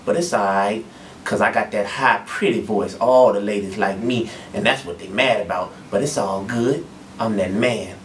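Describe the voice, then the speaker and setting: A man's voice: fairly low pitch, moderate pace, a little hiss or hum, close to an everyday voice. A man playing a vain backup singer in a soul group who brags in drawling slang, recorded from a distance in an echoey church room. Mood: boastful. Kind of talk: comedy sketch acting. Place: church building indoors.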